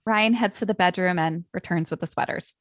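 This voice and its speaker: A woman's voice: on the low side, brisk, a bit bright, no echo. A woman narrator reading stage directions at a script table read.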